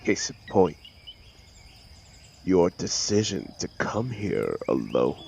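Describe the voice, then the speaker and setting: A man playing an arrogant, mocking telepathic supervillain in an audio roleplay, with a gravelly voice and a sneering, sarcastic, theatrical manner. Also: sits low, very animated, slow pace, darkish tone, slight background noise, exaggerated voice. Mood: scornful. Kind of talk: dramatic audio roleplay monologue.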